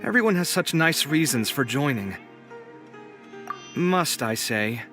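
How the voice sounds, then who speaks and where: A confident, dramatic young man in a video game, easily flustered and exasperated. His bright voice swings widely in pitch, with faint music behind. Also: a little slow. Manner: animated, exasperated.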